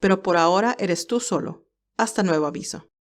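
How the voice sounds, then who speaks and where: A woman's voice: fairly low pitch, brisk, natural voice. A woman voicing a composed male senior aide who gives orders formally and courteously in an audio story. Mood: businesslike.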